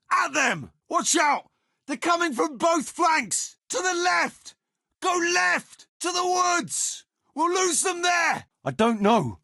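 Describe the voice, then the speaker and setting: A rough medieval fighter from a video game, leader of a hard-drinking band. His voice sits fairly high for a man and swings widely in pitch. He talks bluntly and coarsely, and the sound is dry and close. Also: fairly bright tone, no room sound, full character voice. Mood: urgent shouting, then tense, angry. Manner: blunt, earthy, swearing. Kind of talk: video game dialogue acting.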